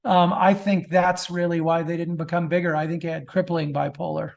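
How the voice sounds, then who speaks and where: A middle-aged man speaks in a noticeably high register for a male voice. He is an author guest in casual conversation, keen on his subject and often branching off into asides. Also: little pitch movement, a little fast, vocal fry, darkish tone. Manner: enthusiastic, digressive. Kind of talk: casual interview chat.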